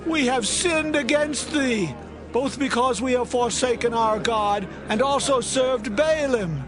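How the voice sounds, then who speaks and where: A man playing a penitent Israelite crying out to God in a dramatized scripture reading, slow and pleading. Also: very high voice.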